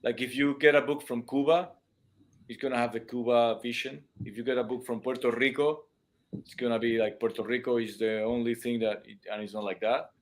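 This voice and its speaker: A man with a non-native English accent, talking from a room over a video call. He explains at an average pitch, reaching for examples and restarting his phrases. He sounds like a teacher chatting with a host. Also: steady tone, brisk. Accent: non-native English speaker. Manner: explains with everyday comparisons, many restarts. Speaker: dance and music teacher; guest. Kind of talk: casual live-stream chat. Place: room at home with cameras.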